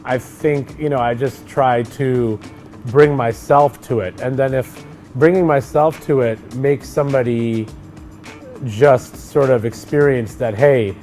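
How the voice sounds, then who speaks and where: A man's voice: medium pitch, a little slow. Middle-aged guy, easygoing, rambly chat, lots of um and you know, faint music under it. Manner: relaxed, rambling with many fillers. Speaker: actor co-hosting a chat. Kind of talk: casual conversation.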